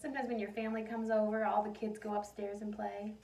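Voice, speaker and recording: A woman reading a picture book aloud like a teacher, animated, pausing to put questions and asides to young listeners. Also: medium pitch, vocal fry, slight background noise. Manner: animated storytelling, addresses young listeners directly. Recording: voice distant from the microphone.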